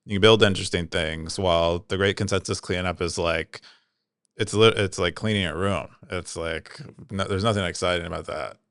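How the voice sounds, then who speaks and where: Deep, smooth-voiced man chatting on a podcast. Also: steady tone, moderate pace, fairly bright tone, no room sound, studio quality. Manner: explains tech terms plainly, loose and chatty with many fillers. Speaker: podcast co-host. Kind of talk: casual chat.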